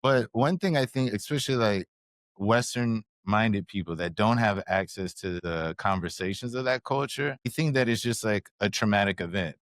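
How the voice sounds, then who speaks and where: A man co-hosting a podcast, telling stories in an animated, casual way, sprinkling in "like" and "right?". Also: lowish voice, normal speed, dry recording. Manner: animated storyteller, frequent "like" and "right?". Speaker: podcast co-host. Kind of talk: casual conversation. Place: live recording at a conference.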